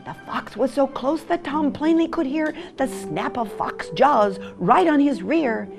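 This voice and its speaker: Female storybook narrator, rhyming verse; lively swooping delivery, theatrical, touch of gravel. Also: high-pitched, measured pace, over music. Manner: theatrical, dramatic emphasis. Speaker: storybook reader.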